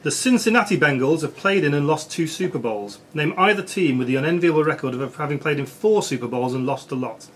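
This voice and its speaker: A man's voice: fairly high pitch, expressive, a little fast, hardly any pauses. A man acting as quiz master, reading out questions at a live quiz in a social club. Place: social club room with background noise. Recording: noisy club room recording.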